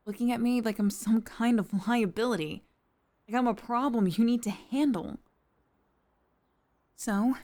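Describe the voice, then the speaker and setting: A woman playing a distressed young employee cornered by her boss, speaking in a halting, sighing, hurt way in an intimate dramatic roleplay monologue. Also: fairly high pitch, mellow. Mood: wistful, hurt, then indignant disbelief. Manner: halting, sighing, emotionally wounded delivery.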